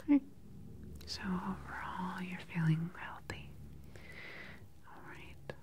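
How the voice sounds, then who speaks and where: Woman, 30s, low-pitched whisper, calm research doctor for an ASMR exam roleplay, unhurried and close-miked. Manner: soft, unhurried, clinical questioning. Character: calm, attentive government research doctor examining a patient. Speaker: ASMR performer playing a doctor. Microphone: close ASMR microphone.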